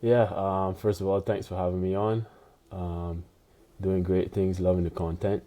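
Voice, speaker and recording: A man in his twenties from Barbados, a pro tennis player chatting as a podcast guest, relaxed and loose with lots of "you know". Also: deep voice, a little slow. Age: 20s. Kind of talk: casual interview chat.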